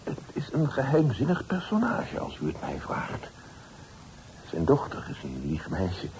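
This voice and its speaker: A man's voice: mid-range voice, huge pitch swings, a little slow, darkish tone. An actor playing a humble, chatty, gossipy man, deferential in manner, in a period radio drama. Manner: deferential, garrulous. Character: humble, chatty, gossipy male family acquaintance seeking his daughter. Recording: noisy old radio play recording.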